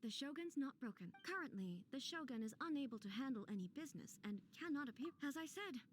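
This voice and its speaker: A stern thunder goddess in a video game. She speaks formally and with aloof restraint in a slightly high voice. There is faint noise behind her. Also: animated, quick pace. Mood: pensive. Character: grave, stern female thunder god with puppet body.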